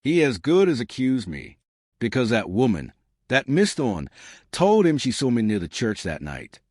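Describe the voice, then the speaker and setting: A man performs a jittery male bank manager from a mystery audiobook. He stammers, dodges and bristles defensively, his pitch moving about a lot in a close, dry sound. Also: huge pitch swings, normal speed, a little mellow, dry recording. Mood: indignant, defensive. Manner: stammering, vehement. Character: nervous, evasive bank manager in spectacles, defensive and brittle.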